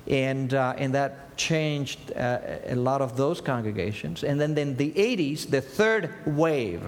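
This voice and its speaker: A man's voice: medium pitch, expressive, a little slow, in a large hall. A man in his fifties from Argentina, with an Argentine Spanish accent, Spanish as his first language, preaching an earnest sermon full of rhetorical questions. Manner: earnest, asks rhetorical questions and answers them.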